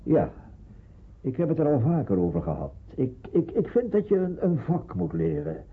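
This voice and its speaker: A man's voice: medium pitch, huge pitch swings, normal speed. An actor playing a stern, worried middle-aged father in a radio drama, speaking firmly and emphatically. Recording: muffled, husky phone-like old radio recording.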